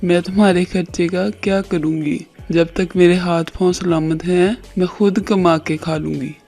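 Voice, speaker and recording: A woman playing a poor, loving village mother in a moral story, gentle and humble in manner. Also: fairly low pitch, steady tone, measured pace, vocal fry, soft background music. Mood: hurt, resigned.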